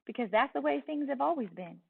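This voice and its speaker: A protective Southern mother from a storybook, voiced by a woman reader, admonishes quietly and plainly. Her voice has a creaky edge and a Southern accent. The recording is thin and phone-like, heard from a distance. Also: high voice, lively intonation, fairly quick, no echo, voice pushed up, heavily acted. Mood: firm, hushed. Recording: distant, tinny phone-like sound.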